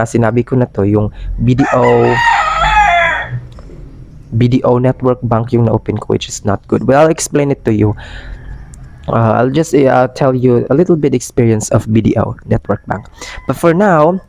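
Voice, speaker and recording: A young Filipino man chats to his channel in a hoarse voice, worn rough by a cold. His pitch sits in a middling range for a man, and he drifts between English and Tagalog with plenty of fillers. The sound is dry and close, with no room echo. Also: a little mellow. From Philippines. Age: young adult. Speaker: vlogger and part-time ESL tutor. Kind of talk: casual vlog talk.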